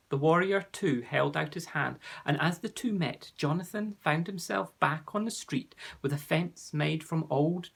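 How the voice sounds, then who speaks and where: A man narrating a children's fantasy audiobook in a gentle storytelling manner. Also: fairly high pitch, gravelly, breathy edge.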